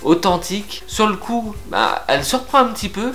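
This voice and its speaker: A young man from France reviewing beard grooming products to camera in a frank, lively, casual way. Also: sits high. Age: young adult. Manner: lively, frank, chatty with filler pauses. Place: indoor room with shelves of figurines.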